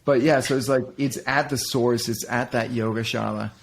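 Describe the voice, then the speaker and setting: A middle-aged man from Denmark, speaking as a guest in a reflective conversation. His voice sits at a middle pitch with a gravelly, slightly husky edge. He pauses, restarts and circles back as he searches for words. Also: fairly even intonation. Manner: halting, many restarts and fillers, candid. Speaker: interview guest.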